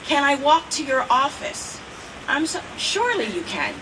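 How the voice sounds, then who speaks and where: American woman, politician's speech, higher voice, upbeat and conversational, distant mic, clear rain noise. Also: very animated, moderate pace. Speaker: state assemblywoman. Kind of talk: public speech at an announcement. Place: outdoors in rainy cold weather.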